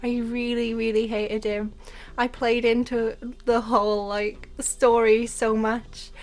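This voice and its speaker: A young Welsh woman with a Welsh accent, chatting excitedly about a game update and then calmly explaining her building steps. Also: fairly high pitch, slow, soft background music. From Wales. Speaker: game content creator. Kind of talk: casual gameplay commentary.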